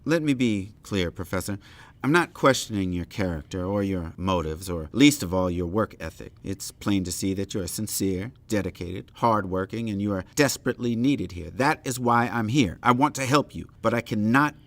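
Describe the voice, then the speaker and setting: An actor playing a stern, uncompromising intellectual in an audio play, speaking in formal, emphatic, articulate sentences. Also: male voice, fairly low pitch, moderate pace, lightly acted. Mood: conciliatory, earnest. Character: stern, uncompromising Northern intellectual doctor, righteous and critical. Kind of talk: dramatic audio play dialogue.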